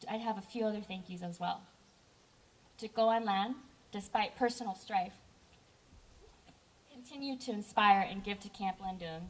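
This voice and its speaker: A young woman giving a heartfelt personal speech to campers, earnest and warm in tone. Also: medium pitch, recorded from a distance, rough recording. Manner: heartfelt, earnest, with brief self-corrections. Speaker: camp director giving a speech.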